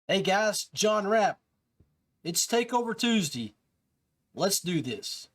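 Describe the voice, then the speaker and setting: A man's voice: high voice, big pitch swings, takes it slowly, noisy background. A man hosting a podcast in casual show talk, addressing his listeners directly. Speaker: podcast host.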